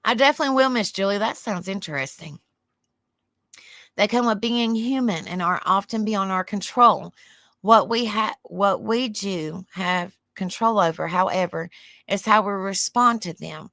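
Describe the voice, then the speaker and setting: A woman with a Southern US accent and a soft, soothing voice hosts a livestream. She chats in a rambling way, reads aloud and answers viewer comments. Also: medium pitch, normal speed, dry recording. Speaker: livestream host. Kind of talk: casual livestream chat, reading aloud.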